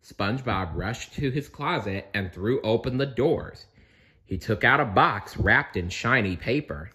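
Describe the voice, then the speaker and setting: Male read-aloud narrator: unhurried, animated pitch, expressive storybook delivery with playful asides. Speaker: storybook reader.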